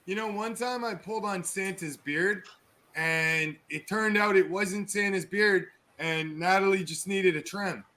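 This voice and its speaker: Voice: medium pitch, measured pace, bright, forceful tone. A livestream co-host joking crudely and tossing in absurd one-liners in a casual group chat.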